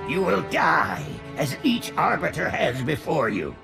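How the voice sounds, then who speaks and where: An actor playing a haughty, zealous alien prophet, theatrical and formal, in a cinematic video game. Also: male voice, fairly high pitch, wildly swooping pitch, measured pace, gravelly, music in the background, full character voice. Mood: ominous, disdainful.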